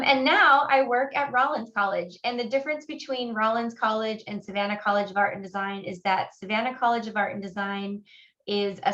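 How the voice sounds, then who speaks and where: A woman in her forties from Honduras presents over a video call in an easy, conversational way, often pausing on "um." Her mid-range voice comes through slightly dull and muffled. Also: mid-range voice, fairly bright tone. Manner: friendly, conversational, frequent fillers. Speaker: admissions guest speaker. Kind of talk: online webinar presentation.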